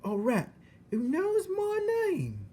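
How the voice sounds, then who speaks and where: A man voicing a naive, excitable young servant girl in a storybook, exclaiming in loud, rustic, ungrammatical speech. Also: very high-pitched, slow, voice pushed up, heavily acted. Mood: excited, shouting. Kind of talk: storybook character voice.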